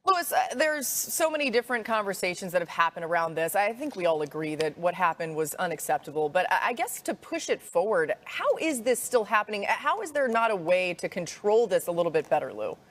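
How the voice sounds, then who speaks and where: A woman hosting a TV sports show, moving briskly between questions, comments and segues. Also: lowish voice, big pitch swings, fairly bright tone.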